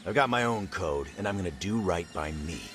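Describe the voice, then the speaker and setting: A man playing a gruff, hot-headed, loyal ex-yakuza in an English-dubbed crime game, with a blunt, defensive manner. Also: deep voice, lively intonation, brisk, fairly bright tone, soft background music. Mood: defensive, heated.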